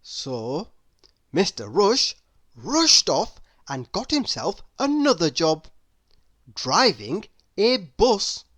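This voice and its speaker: A woman reading a children's story aloud in an expressive narrating style. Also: lowish voice, extremely slow. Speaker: storybook reader.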